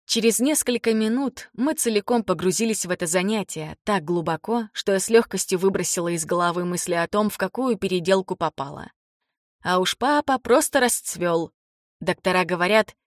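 A woman's voice: medium pitch, no room sound, studio quality. A woman reading a first-person novel as scripted audiobook narration.

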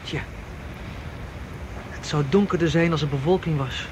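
A man's voice: fairly high pitch, moderate pace, natural voice. A man playing a tense, self-assured getaway planner in a naturalistic radio drama, with a clipped, instructing manner. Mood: tense, confident. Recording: dull, noisy radio drama recording.

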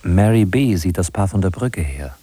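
A confiding narrator follows people like a detective in a fifties radio play. His voice is deep, dark and husky, speaking straight to the listener. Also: expressive, normal speed, no room sound. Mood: confiding, observant. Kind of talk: 1950s radio drama narration.

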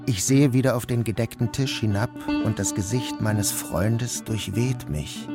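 A man giving a measured, subdued first-person narration of a novel. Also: on the low side, loud music behind the voice.

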